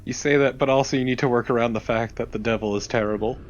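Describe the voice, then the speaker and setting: A man's voice: medium pitch, fairly even intonation, fast talker, muffled recording, slight background noise. A man co-commentating casually on a video game, blunt and sweary. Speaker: let's play co-commentator. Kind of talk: casual chat over a game.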